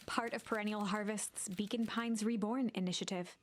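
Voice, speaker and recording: A woman's voice: mid-range voice, steady tone, moderate pace, no echo, lightly acted. A woman playing a wry, composed storybook narrator in a video game, reading scripted narration.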